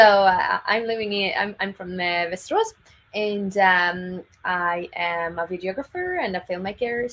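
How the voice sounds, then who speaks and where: A woman's voice: mid-range voice, fairly bright tone, a little hiss or hum, low-quality recording. A Swedish woman with a Swedish accent, a videographer guest in a casual interview, upbeat and full of fillers. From Sweden. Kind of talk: casual interview on a live stream.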